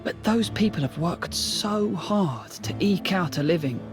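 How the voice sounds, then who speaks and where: A thoughtful young fighter in a fantasy game, kinda high voice, polite, clear music under him. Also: male voice, expressive, measured pace. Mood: sincere. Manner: polite, reflective. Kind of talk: video game cutscene acting.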